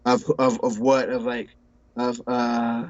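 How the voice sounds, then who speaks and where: A man's voice: medium pitch, level tone, slow pace, lo-fi sound, over a noisy crowd. A man in his forties, talking casually as an interview guest, rambling with lots of restarts and repeated words, and calling people bro and dude. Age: 40s. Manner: laid-back, says bro and dude a lot. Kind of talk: casual conversation.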